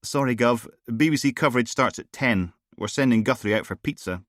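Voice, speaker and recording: A man voicing a naive, eager young police sergeant who chatters brightly. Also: medium pitch, brisk, no room sound, studio quality, pitched above the performer's own voice, close to an everyday voice. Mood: chatty.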